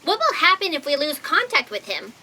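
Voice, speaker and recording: A woman playing an eager, anxious fact checker in an audio drama comedy, excitable and dramatic. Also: sits high, brisk, heavily acted. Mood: worried, dramatic.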